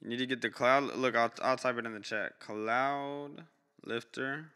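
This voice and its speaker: A young man on a live stream gives blunt, slangy feedback, his voice bright and crisp with a smooth clarity. He talks into a close studio mic in a small home room, with some long reverb on the voice and faint music underneath. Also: steady tone, measured pace. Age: young adult. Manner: blunt, slangy, swears, jumps high in pitch when reacting. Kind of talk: casual live-stream critique. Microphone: studio mic close up, headphones on.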